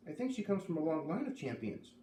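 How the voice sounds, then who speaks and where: A man's voice: on the high side, very animated, fast talker, dark tone, voice pushed up. A man voicing an earnest, unsure young farm girl in a storybook, hesitant, eager and polite. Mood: hesitant, apologetic. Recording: roomy, distant mic, faint noise.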